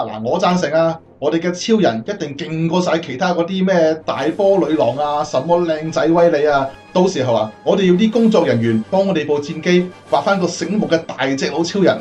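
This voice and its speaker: A man's voice: fairly high pitch, expressive, fairly quick, hardly any pauses, darkish tone, soft background music, natural voice. A man acting a cheerful, cocky bomber crewman in a radio drama. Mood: cheerful, boastful.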